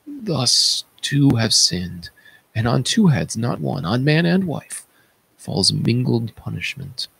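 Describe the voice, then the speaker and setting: A man voicing a solemn palace attendant who recounts horrors in a declamatory stage-tragedy reading, with dramatic pauses. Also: mid-range voice, very animated, gravelly, fairly bright tone, husky, full character voice, low-quality recording.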